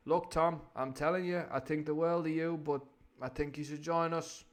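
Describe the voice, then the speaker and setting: A man's voice: a little high, steady tone, moderate pace, natural voice. A man playing a falsely friendly pirate villain in a story, matey, coaxing and persuasive.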